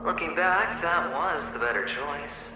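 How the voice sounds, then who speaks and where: A taunting, theatrical tormentor from a video game, needling with insinuation. His delivery slides into breathy drops. The voice rings with heavy echo over loud music. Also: fairly high pitch, animated, measured pace. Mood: insinuating. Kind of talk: dramatic video game voice acting. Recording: very echoey, loud music behind.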